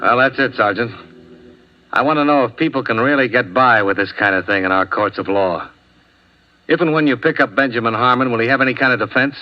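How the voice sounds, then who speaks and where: An actor playing a blunt, wry insurance investigator who presses people tersely, in 1950s radio drama style. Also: male voice, mid-range voice, brisk, lightly acted. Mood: frustrated. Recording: dull old radio recording.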